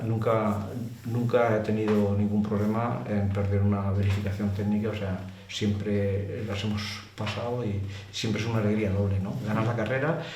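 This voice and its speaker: A man's voice: lowish voice, a little mellow. An older man with an accent from Spain, a former racer, chattily telling anecdotes in colloquial, exclamatory speech as an interview guest. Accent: Spanish from Spain. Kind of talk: casual anecdotal storytelling. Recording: roomy echo with clear background noise.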